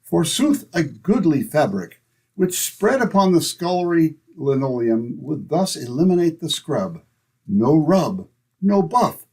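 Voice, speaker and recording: A pompous amateur Shakespearean in an old-time radio comedy. He declaims grandly and theatrically, his fairly high, husky voice swinging widely in pitch. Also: sing-song, unhurried, a little mellow, exaggerated voice. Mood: grandly declaiming. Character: hammy, pompous neighbor and self-styled Shakespearean actor.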